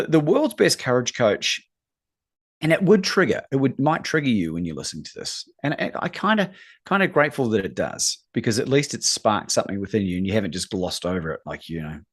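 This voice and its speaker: A man's voice: fairly low pitch, slightly rough. A man from New Zealand with a New Zealand accent, speaking as a coach in an interview with an emphatic, earnest motivational delivery. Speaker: guest, courage coach.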